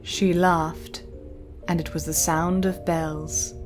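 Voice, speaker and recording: A woman with a slightly low voice narrates a dramatic tale. Her phrasing is deliberate and measured, like a scripted audio story. Soft music runs behind her. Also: flat delivery.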